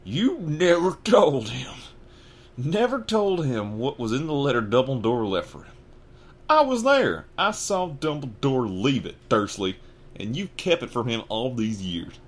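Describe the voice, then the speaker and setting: A man voicing a huge, gruff half-giant groundskeeper who talks loud and raised, with rough rustic speech. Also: mid-range voice, lively intonation, moderate pace, pitched above the performer's own voice. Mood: outraged.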